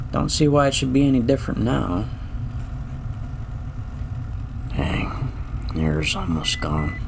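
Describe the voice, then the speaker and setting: Worn out lonely space trash hauler, slow n creaky, folksy and wry, audio drama w noisy rumble behind. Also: male voice, darkish tone, full character voice. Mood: resigned. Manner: folksy, rambling, reflective, wry. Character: lonely, wry, weary space garbage man, dying.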